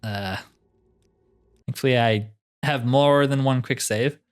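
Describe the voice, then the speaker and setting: A man's voice: mid-range voice, takes it slowly, clean tone. A man giving casual gameplay commentary in a laid-back way, musing to himself as he plays. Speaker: gaming streamer.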